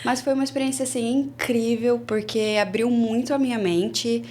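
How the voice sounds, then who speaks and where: A Brazilian woman in her twenties, a former au pair, telling her story in a casual interview with lively restarts. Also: a little high, moderate pace. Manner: chatty, animated, many restarts.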